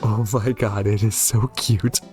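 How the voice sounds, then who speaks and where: A man's voice: on the low side, flat delivery, measured pace, darkish tone, soft background music. A man doing casual commentary as he plays through a game, given to gushing, amused asides. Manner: gushing over cute things, amused asides. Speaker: gamer, video host. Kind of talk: casual playthrough commentary, reading game text aloud.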